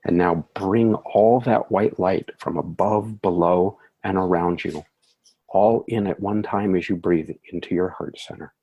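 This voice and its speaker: A man leading a guided meditation in a soothing, measured way, with calm phrasing and gentle affirmations. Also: low-pitched, breathy edge, muffled recording.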